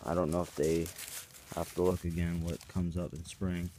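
A man's voice: sits low, flat delivery, normal speed, mellow, a little hiss or hum. A young man giving a rambling, hesitant walkaround tour of his farm outdoors, filming on a handheld phone. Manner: rambling, hesitant, unsure of plans. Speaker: farm vlogger. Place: outdoors on a farm yard and woods.

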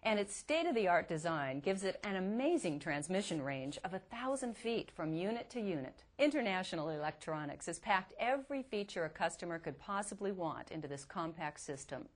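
A woman's voice: lowish voice, sing-song, moderate pace, hardly any pauses. A woman reporter narrating a scripted promotional product report.

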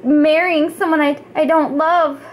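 A woman's voice: sits high, steady tone, measured pace. A woman voicing an earnest, melodramatic teenage girl playing a tragic heroine in an amateur puppet show. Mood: earnest, dramatic.

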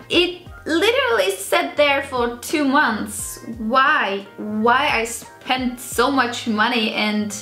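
A woman's voice: fairly high pitch, fairly bright tone. A woman in her twenties with a Czech accent, chatting casually to camera in a rambling, self-mocking way as a video blogger. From Czech Republic. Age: 20s. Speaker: video blogger presenting a clothing haul. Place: small indoor beauty room with vanity. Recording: roomy sound, music underneath.

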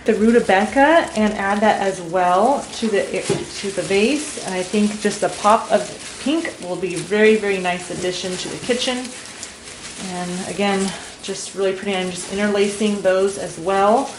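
A woman in her thirties talks through her decor finds in a casual, gushing way. Her voice sits at an ordinary middle pitch. It is picked up by the camera in her dining room. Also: normal speed, noisy background. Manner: enthusiastic, gushing. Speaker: home decor vlogger. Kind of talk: casual shopping and decorating vlog.

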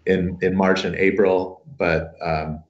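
A middle-aged man, a professor, answering interview questions on a video call with a voice scratchy from a cold, relaxed and modest, with many fillers. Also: sits low, slow pace. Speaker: guest professor. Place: home room. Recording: roomy, muffled video call audio.

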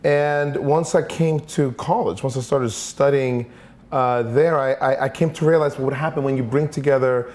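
Interview guest: man in his forties from Sweden, mid-pitched, thoughtful, repeats words while thinking, lapel mic in an office. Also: steady tone, normal speed, a little mellow. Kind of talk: conversational interview answers. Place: office with large windows.

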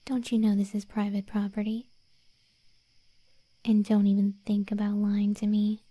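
A woman playing a protective gargoyle monster girl in an ASMR roleplay, speaking softly and breathily, close to the microphone. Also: medium pitch, completely flat delivery, unhurried, dry recording, natural voice. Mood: stern, suspicious. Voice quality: soft, breathy, whispery. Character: protective gargoyle monster girl guard, stern then sweetly deferential. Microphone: close microphone, dry.

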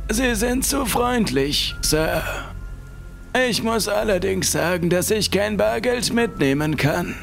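A soft-spoken priest, put on by a male narrator, pitched well above his normal voice and speaking very slowly. His voice is gravelly with creak, and faint music and rain sit behind him. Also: animated, heavily acted. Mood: polite.

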